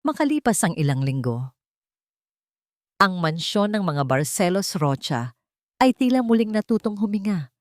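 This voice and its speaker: A woman reading a dramatic audio story with an expressive narrating voice. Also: medium pitch, moderate pace, pauses often, no room sound, studio quality.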